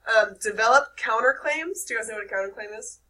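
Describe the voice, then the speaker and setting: A young woman with a nasal voice teaching a high school English class, lively and checking in with her students. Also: fast. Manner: lively, checks in with "okay?" often. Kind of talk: classroom lecture. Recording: distant mic with faint room noise.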